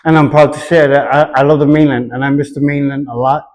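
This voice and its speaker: A man from Hawaii, answering interview questions in an earnest, reflective and deliberate manner. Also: a little high, fairly even intonation, darkish tone. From Hawaii, United States.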